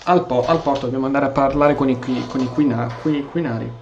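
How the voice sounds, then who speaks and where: A man's voice: mid-range voice, steady tone, fast talker, a little mellow, a little hiss or hum. Italian male streamer, casual game commentary, stumbling delivery, dull echoey recording, game ambience. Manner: stumbles and repeats words. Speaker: gaming streamer.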